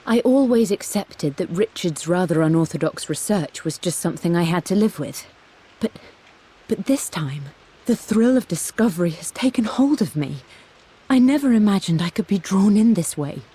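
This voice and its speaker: A woman's voice: on the low side, mellow, slightly rough, rough recording. An actress playing a refined, thoughtful middle-aged British woman, reflecting in a journal voice-over for a game. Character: refined, thoughtful British scholar's wife, quietly excited.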